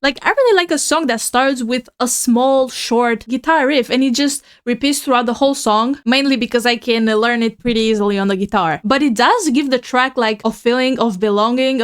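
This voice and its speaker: A woman's voice: a little high, smooth. A teenage girl whose first language is not English, chatting curiously as she hosts a music reaction video. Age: teens. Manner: curious, chatty, thinks aloud. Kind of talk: casual reaction commentary. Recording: music playing in the background. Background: rock music playing.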